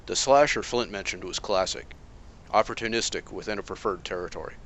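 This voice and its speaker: An actor playing a calm, analytical masked vigilante detective with a dry, measured delivery. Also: male voice, sits low, a little hiss or hum. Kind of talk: amateur motion comic voice acting.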